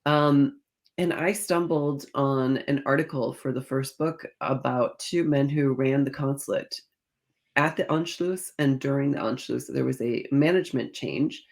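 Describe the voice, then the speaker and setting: A woman speaking as a guest author in a conversational interview, thinking aloud as she tells stories in an animated manner. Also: a bass voice, a little slow, vocal fry. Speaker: guest author discussing own novels.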